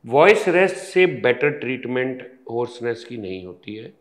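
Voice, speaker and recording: A man, a surgeon, explaining a health topic in an interview, slowly and patiently step by step in the he mixes in. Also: medium pitch, very animated. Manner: slow, measured, explaining step by step with pauses. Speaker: ENT surgeon guest.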